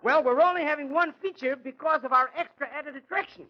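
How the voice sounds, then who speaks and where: A man's voice: extremely high pitch, brisk. A man playing a wheedling, haggling small cinema owner in 1940s radio comedy. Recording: muffled vintage radio recording.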